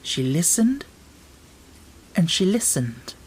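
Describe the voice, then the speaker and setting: A man reading an audiobook as narrator, telling the story in a measured, expressive way. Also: high voice, husky.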